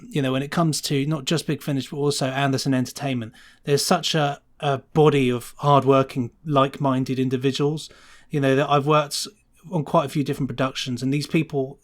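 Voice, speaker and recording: Chatty, enthusiastic man in casual conversation. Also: mid-range voice. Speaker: podcast guest, sound designer. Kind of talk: casual interview chat.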